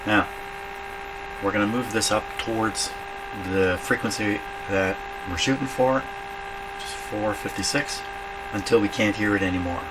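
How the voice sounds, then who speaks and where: A man giving an informal hands-on repair demonstration, thinking aloud in a halting way as he works. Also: fairly low pitch, steady tone. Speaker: radio repair hobbyist demonstrating. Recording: loud mains hum and workshop noise.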